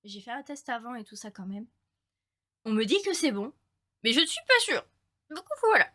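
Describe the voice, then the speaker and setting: A woman's voice: on the high side, very animated, normal speed, pushed and bright. A young woman with a stuffy, slightly nasal voice from a cold, chatting casually to viewers at home while she plays a game. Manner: chatty, laughs often, talks to viewers. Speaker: let's play streamer. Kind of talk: casual gameplay commentary. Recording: game music behind the voice. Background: game music.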